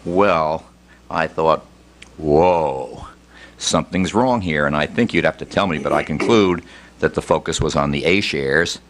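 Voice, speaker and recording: A man's voice: extremely low pitch, a little slow, fairly bright tone. An older man answering at length on a panel, discursive and often restarting his phrases. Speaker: panel speaker and former fund executive.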